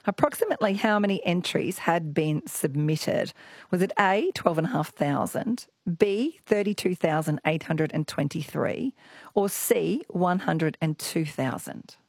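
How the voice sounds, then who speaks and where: A croaky, husky Australian woman in her forties hosting an evening radio quiz with callers, bubbly and teasing. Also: on the low side, lively intonation, unhurried, a little mellow, no room sound. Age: 40s. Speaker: radio host. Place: radio studio.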